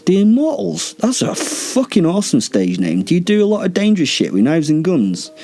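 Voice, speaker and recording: A man's voice: fairly low pitch, big pitch swings, brisk, darkish tone, slightly rough, faint music behind. A man voicing a cocky, flippant male werewolf in a story, sarcastic and full of exaggerated mock awe. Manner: sarcastic, mock-awed, sweary.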